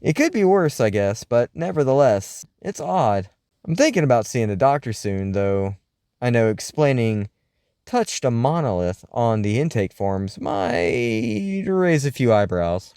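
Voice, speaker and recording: A man playing a wry, uneasy host of a late-night paranormal radio show, speaking in a scripted, folksy, theatrical way with deliberate pauses. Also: medium pitch, sing-song, darkish tone, clean tone, no echo, studio quality. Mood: wry, amiable.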